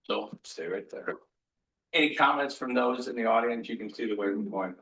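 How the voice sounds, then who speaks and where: A man's voice: mid-range voice, moderate pace, bright, forceful tone, recorded from a distance, slight background noise. A man chairing a city council meeting who talks in a folksy way, recounting local history and calling on speakers. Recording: roomy council chamber.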